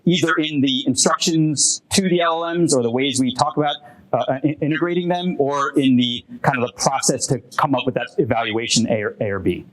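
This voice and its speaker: A man fielding audience questions after a talk, thinking aloud with many pauses and restarts. His pitch sits in an ordinary middle range. He speaks in a conference room. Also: rough recording. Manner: hesitant, many restarts and fillers. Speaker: presenter answering audience questions. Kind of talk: academic Q&A at a workshop.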